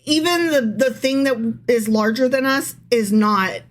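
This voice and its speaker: A woman's voice: fairly high pitch, expressive, unhurried. A woman co-hosting a podcast, reading scripture aloud and chatting casually, irreverent and sweary. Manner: irreverent, profane, self-interrupting. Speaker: podcast co-host.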